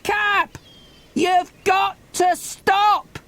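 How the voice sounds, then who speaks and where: A man's voice: very high voice, flat delivery, drawn-out words, pushed and bright, no echo, voice pushed up, full character voice. A man voicing an anguished, pleading young boy in a dramatised children's audiobook. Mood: desperate pleading. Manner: breathless, pleading, childlike exclamations. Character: anguished, pleading young boy, Milo, abandoned by his dad.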